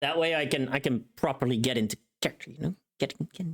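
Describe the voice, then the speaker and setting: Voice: medium pitch, fast talker. A streamer chatting casually and thinking aloud in a rambling way while working through tasks on a livestream. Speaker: streamer recording a voice pack.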